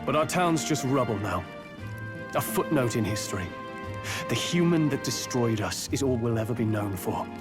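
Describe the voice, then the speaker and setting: An actor playing an earnest, reflective young nobleman in a video game, with quiet bitterness in his delivery. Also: mid-range voice, lively intonation, normal speed, over music, natural voice. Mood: grave, bitter. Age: young adult.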